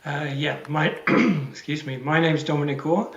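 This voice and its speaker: A man joining an informal video-call panel, relaxed and joking. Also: on the high side, normal speed, reverberant, highs cut off. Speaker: panel co-host. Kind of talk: casual online panel talk.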